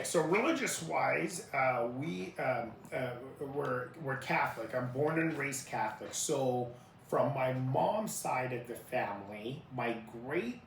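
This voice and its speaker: A man in his thirties from Iraq who grew up in Utah, a native Arabic speaker answering interview questions conversationally. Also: mid-range voice. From born in Iraq, grew up in Utah. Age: 30s. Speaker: interview guest, family lawyer. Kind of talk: conversational life-story interview. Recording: roomy, distant mic with faint noise.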